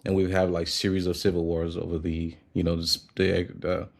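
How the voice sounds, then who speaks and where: A man from Liberia in his thirties speaks with a very low, deep voice. He talks in a relaxed, reflective way, pausing often with fillers as a guest in a conversational interview. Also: creaky.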